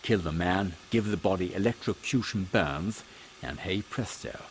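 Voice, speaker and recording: Man playing a deep-voiced, proper British captain. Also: close to an everyday voice. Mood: serious. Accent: British English. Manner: measured, formal, authoritative. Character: composed, proper English captain of Victorian secret agents. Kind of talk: comic audio drama acting. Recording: faint background noise.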